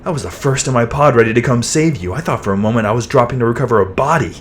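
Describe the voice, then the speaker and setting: An actor playing a weary, loyal, worrying trooper in a sci-fi audio drama, speaking in an earnest, subdued way. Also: male voice, low-pitched, fairly even intonation, fairly quick, slight background noise, lightly acted. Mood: worried, earnest.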